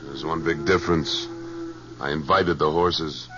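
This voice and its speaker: An American actor playing a tough, guarded cowboy trail boss in a 1950s radio western, speaking in a dry, commanding way. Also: male voice, a bass voice, steady tone, unhurried. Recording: muffled vintage radio, loud music bed.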